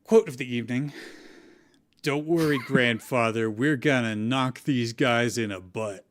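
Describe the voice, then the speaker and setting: A man's voice: mid-range voice, a little slow. A man hosting a casual livestream, reading out viewer comments and chatting with a panel. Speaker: host reading chat comments.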